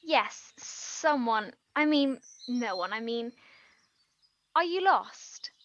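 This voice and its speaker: A woman acting a nervous, eager aspiring writer in a naturalistic stage play, talking in a flustered, halting, rambling way. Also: a little high, animated, fairly bright tone, lo-fi sound. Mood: startled, flustered. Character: nervous, eager female aspiring writer hiding her past.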